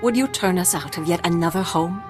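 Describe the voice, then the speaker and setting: A woman's voice: lowish voice, brisk, music in the background, close to an everyday voice. An actress playing a proud, aggrieved refugee leader in a video game, pleading formally and earnestly for her people. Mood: pleading, indignant. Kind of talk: dramatic scripted video game dialogue.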